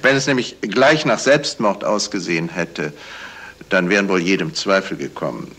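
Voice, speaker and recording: An actor playing a polite, shrewd detective in a radio crime play, talking in a measured way with small hesitations. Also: male voice, low voice, fairly bright tone, breathy edge. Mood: explaining. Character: courteous, shrewd male detective explaining a murder. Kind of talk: 1970s German radio crime play acting. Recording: noisy vintage radio play recording.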